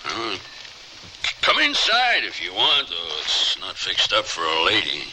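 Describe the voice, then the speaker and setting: An actor playing a rough, wry mountain man, rustic and blunt, in an old radio drama. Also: low-pitched, huge pitch swings, measured pace, heavily acted. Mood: gruff, hesitant. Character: rough, wry frontier mountain man, whiskey-drinking outlaw. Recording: noisy vintage radio drama recording.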